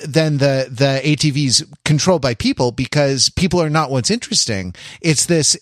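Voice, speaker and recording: A man's voice: medium pitch, dry recording. Wry, digressive man in a close, dry recording. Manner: hesitant, digressive, many restarts. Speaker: podcast co-host. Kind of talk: casual podcast discussion.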